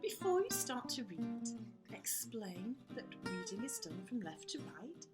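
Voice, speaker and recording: A woman giving careful instructional narration as a teacher, explaining step by step. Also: mid-range voice. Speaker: teacher demonstrating a phonics reading method. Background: plucked guitar music.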